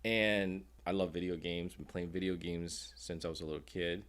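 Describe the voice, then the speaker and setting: A man's voice: low-pitched, steady tone, normal speed, fairly bright tone, clear, resonant tone. A middle-aged man from Taiwan and the United States, talking casually and informally as a guest. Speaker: podcast guest, endodontist. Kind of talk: casual conversational storytelling.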